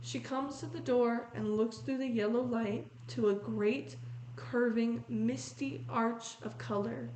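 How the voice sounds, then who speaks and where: A woman reading a picture book aloud in a measured, descriptive storytelling manner, pausing to comment on the illustrations. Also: a little high, steady tone, a little mellow. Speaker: read-aloud host. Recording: roomy echo with faint noise.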